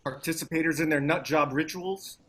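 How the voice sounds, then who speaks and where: A man acts out a wry, gung-ho male activist in a screenplay read. The voice is forceful and sharp, pitched a little high. Phrases come out clipped and punchy. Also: a little fast, breathy edge. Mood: sardonic, urgent. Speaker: actor. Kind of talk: dramatic screenplay table read.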